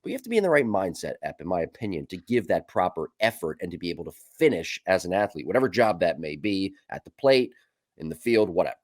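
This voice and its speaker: Podcast co-host, man, low voice, congested from a cold, blunt and swearing. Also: fast talker, dry recording, clean studio sound. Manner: animated, profane ranting. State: stuffed up from a cold. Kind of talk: casual podcast chat.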